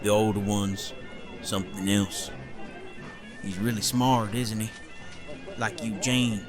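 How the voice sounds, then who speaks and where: A gruff survivor voiced by a man, terse and muttering, with little movement in his pitch. It is an audio drama with clear music underneath. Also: little pitch movement, takes it slowly. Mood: gruff, warm. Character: gruff, laconic survivor with a soft side. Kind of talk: fan audio drama acting.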